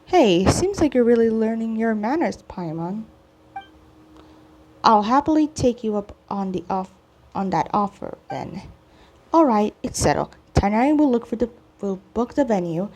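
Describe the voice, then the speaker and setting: A woman gaming streamer reading dialogue aloud during a playthrough, halting and stumbling, often repeating words. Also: a little high, expressive, darkish tone, highs cut off. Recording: game music behind the voice. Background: video game music.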